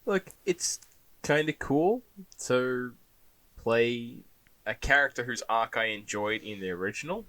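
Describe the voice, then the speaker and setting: A man chats casually as an interview guest, with a laid-back, dryly humorous delivery. His voice is medium in pitch and recorded very dry, with no room around it. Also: animated, a little slow, a bit bright, no echo.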